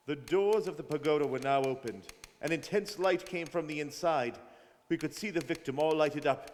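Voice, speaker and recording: A slightly noisy recording in a reverberant hall, with a man playing a grave detective narrating a radio play. His voice is fairly high, and he speaks formally, building suspense. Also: lightly acted. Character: grave, watchful detective recounting a journey. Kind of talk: dramatic scripted radio narration. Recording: reverberant hall with faint noise.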